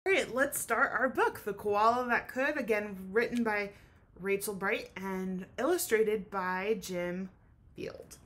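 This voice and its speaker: A woman's voice: mid-range voice, slow pace, a bit bright. A woman teacher giving a children's read-aloud lesson, speaking expressively and explaining things in simple terms.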